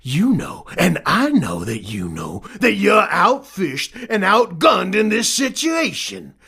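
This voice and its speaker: An actor voicing a swaggering, bullying fish outlaw boss in a Western audio drama, with a raspy voice and a folksy, taunting drawl. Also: male voice, high voice, huge pitch swings, slow, pushed and bright, full character voice. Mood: mocking. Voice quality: gravelly, raspy.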